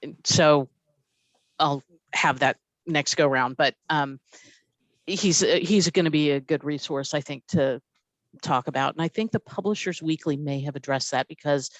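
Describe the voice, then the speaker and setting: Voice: mid-range voice, normal speed, no room sound. Give me a presenter at a committee meeting, chatting informally over a video call and leaning on plenty of fillers. The voice sits mid-range with a dry, close sound and no room around it. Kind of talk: meeting discussion.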